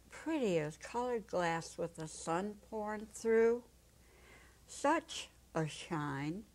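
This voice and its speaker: Actress as gentle elderly woman, storybook drama, lowish voice, unhurried, halting, full of simple wonder. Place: small indoor set. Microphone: lapel.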